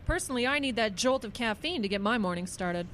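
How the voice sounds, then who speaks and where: A woman's voice: on the high side, expressive, quick pace, fairly bright tone, slight background noise. A woman playing a kindly, practical small-town pastor in a radio drama. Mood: chatty.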